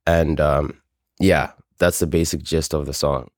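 Very low-voiced man, informal and candid. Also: fairly even intonation, a little slow, no echo, studio quality. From Zambia. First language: Bemba. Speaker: singer-songwriter, interview guest. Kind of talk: casual interview chat.

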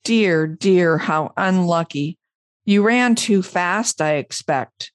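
A woman's voice: on the low side, expressive, takes it slowly, no echo. A woman voicing a gloomy, doleful male donkey from a children's storybook, mournful and resigned. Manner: mournful, resigned, hesitant questioning.

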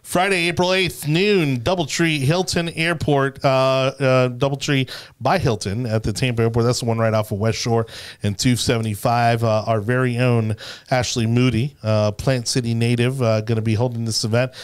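A male podcast host talks in a relaxed, conversational way at a middle pitch. His speech is dotted with "uh" fillers as he runs through announcements and chats with his co-hosts. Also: moderate pace, clean tone. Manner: many "uh" fillers, plugging events. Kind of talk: casual political podcast chat.

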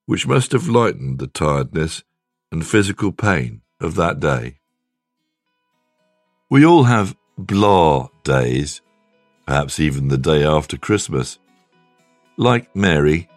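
Deep-voiced man reading a devotional. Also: no echo, clean studio sound. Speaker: devotional reader and host. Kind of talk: scripted devotional reading with scripture and prayer.

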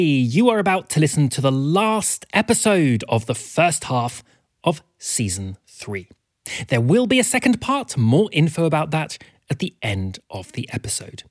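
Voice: mid-range voice, no room sound, studio quality. A podcast host giving scripted announcements in a friendly style to listeners.

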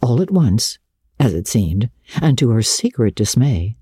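A woman narrates a classic novel in a low, mellow voice. Her storytelling is measured and expressive, with dramatic phrasing. The recording is close and dry. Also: slightly rough, no room sound. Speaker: audiobook narrator.

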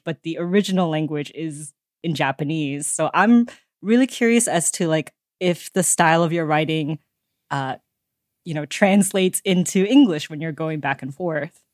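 A woman's voice: low voice, no room sound, clean studio sound. A woman podcast host asking curious, conversational questions, often with "like" fillers. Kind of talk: interview questions.

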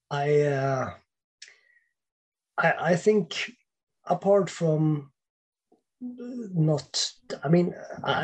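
A man who sings in a band, talking as a podcast guest in a halting, thoughtful way, often restarting his sentences. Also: on the high side, husky. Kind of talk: casual interview chat.

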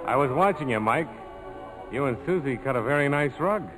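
An actor playing a tough, cynical skipper, terse and wry, in a 1940s radio drama. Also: male voice, medium pitch, animated, a little fast, lightly acted, rough recording. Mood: cool, probing. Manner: terse, hard-boiled, wry. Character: tough, cynical army-major skipper, cool and worldly. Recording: old 1940s radio broadcast, music underneath.

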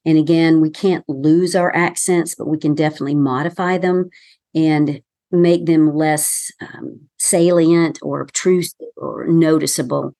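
A woman with a softened Southern American accent, a communication consultant giving measured, explanatory advice in an interview. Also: low voice, fairly even intonation, no room sound, studio quality. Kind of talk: professional podcast interview.

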